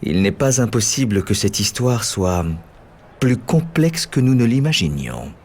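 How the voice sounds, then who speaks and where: Casting: gentleman sleuth for a game, medium pitch, courteous and composed, light background noise. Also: very animated, a little slow. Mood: thoughtful. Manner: courteous, reserved, articulate. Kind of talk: animated game cutscene acting.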